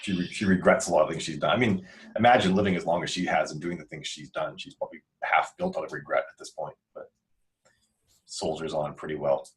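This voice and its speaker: A man's voice: rumbling low, fairly even intonation, fast, rough recording. A man hosting a casual podcast discussion, talking informally and often correcting himself mid-sentence. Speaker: author and podcast host.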